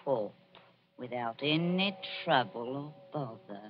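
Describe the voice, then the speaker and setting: An actor voicing a scheming, gin-craving old London crone with a wheedling, theatrical delivery in 1940s radio style. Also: male voice, medium pitch, expressive, takes it slowly, slight background noise. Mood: sly, insinuating. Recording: muffled vintage radio recording.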